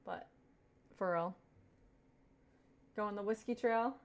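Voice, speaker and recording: A woman's voice: mid-range voice, takes it slowly, clean tone. A young woman from Kentucky with a light Southern US accent, live-streaming, chatting casually, and thanking her viewers over and over. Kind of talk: casual chat reading viewer comments.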